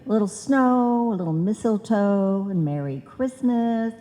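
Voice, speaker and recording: Woman acting a scatterbrained schemer, slow and mellow, in a hall. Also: mid-range voice, expressive, lightly acted. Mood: breezy, scheming. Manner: confident malapropisms, muddled logic. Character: scatterbrained, bossy married woman hatching a money-making scheme. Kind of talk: old-time radio comedy acting, performed live. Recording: live hall recording, long reverb.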